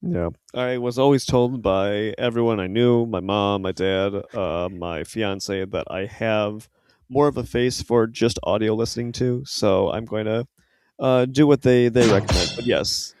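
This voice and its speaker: Co-host, man, smooth clear voice, upbeat friendly banter, dry close mic. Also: fairly low pitch, measured pace, a little mellow, dry recording. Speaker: podcast co-host. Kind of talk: casual soccer podcast chat.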